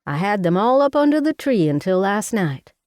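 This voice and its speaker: A woman's voice: mid-range voice, big pitch swings, moderate pace, darkish tone, no echo, studio quality, pitched above the performer's own voice, lightly acted. A woman voicing a fussy, outspoken older mother, talking in a folksy, scolding way. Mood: fussing.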